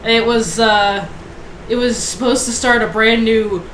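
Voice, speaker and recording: A woman's voice: fairly high pitch, a little slow, a bit bright. Phlegmy woman with a cold, wry. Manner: wry, punning. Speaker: panel guest. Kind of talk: casual panel chat. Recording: roomy with audible hiss.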